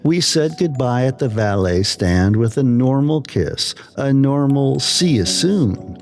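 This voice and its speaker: Guy reading a creepy story slow, voice moving up and down a lot, music under it. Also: medium pitch, expressive. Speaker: horror story narrator. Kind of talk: slow-burn scripted story narration.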